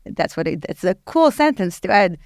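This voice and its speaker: Woman, nonprofit head, candid and chatty, smooth clear tone, dry close mic. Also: fairly low pitch, moderate pace, dry recording. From Israel. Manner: candid, frank and animated, with many fillers. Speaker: podcast guest, nonprofit executive director. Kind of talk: casual interview talk.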